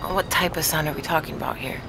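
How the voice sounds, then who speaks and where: A woman playing a measured, matter-of-fact neurologist who explains things in a naturalistic audio drama. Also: deep voice, fairly even intonation, background noise. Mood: skeptical.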